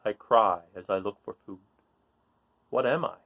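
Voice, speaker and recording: A man reading a children's picture book aloud in an informal, expressive way, putting questions to young listeners and encouraging them. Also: on the low side, normal speed, narrow, tinny audio. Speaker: read-aloud storyteller.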